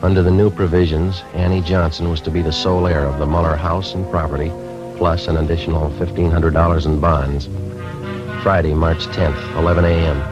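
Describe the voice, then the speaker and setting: Male actor playing terse police detective, American, very low pitch, deadpan 1950s radio narration, music behind. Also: fairly quick, lo-fi sound, lightly acted. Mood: matter-of-fact narration. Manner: deadpan, clipped. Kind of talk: 1950s radio acting, clipped procedural narration.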